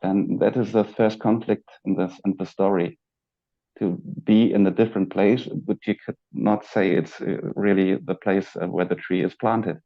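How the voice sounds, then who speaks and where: Man guest, low voice, halting and searching, dull muffled call sound. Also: fairly even intonation. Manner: halting, searching for words, with frequent fillers and restarts. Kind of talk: reflective spiritual conversation. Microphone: video call.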